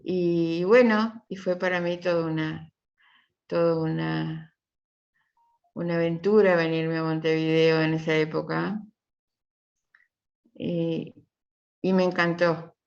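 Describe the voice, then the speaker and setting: A middle-aged Uruguayan woman teaches a class in a fairly low voice. She talks in a chatty, meandering way, often circling back on her phrases. The recording is muffled and low in fidelity. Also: little pitch movement, slow, vocal fry, long pauses, a little mellow. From Uruguay, from Tacuarembó. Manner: chatty, rambling, repeats phrases. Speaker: university professor. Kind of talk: informal online class introduction.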